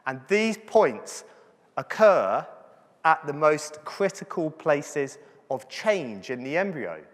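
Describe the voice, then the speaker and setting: A man's voice: on the high side, a bit bright. Chatty man lecturing in a hall. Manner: chatty, joking, checks in with "yeah?". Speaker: medical doctor lecturing to physiotherapists. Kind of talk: conference lecture. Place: large conference hall. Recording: hall reverb from the venue.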